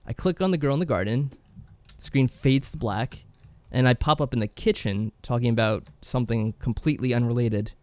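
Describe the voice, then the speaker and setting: Clear, dry-sounding male podcast co-host. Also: medium pitch, moderate pace, a little mellow, no room sound. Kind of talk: casual unscripted discussion of games.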